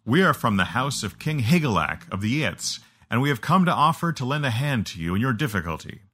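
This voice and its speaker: A man voicing a huge, brash and genial warrior with a warm rumbling voice in an audiobook, courteous but needling. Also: very animated, fairly quick. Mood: confident, placating. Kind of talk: audiobook character dialogue.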